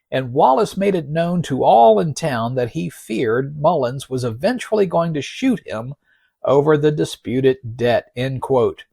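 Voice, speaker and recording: A man hosting a podcast, narrating in a folksy, wry and measured way, reading out quotes with deliberate emphasis. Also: on the high side, creaky, mellow, breathy edge, dry recording. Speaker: podcast host. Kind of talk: narrated podcast storytelling with read-out article quotes.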